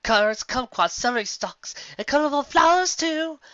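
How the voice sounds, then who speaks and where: A woman voicing a hard-working farmer pony, singing earnestly and loudly. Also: animated, normal speed, no echo. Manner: loud, high, earnest singing. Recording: dull, muffled lo-fi recording.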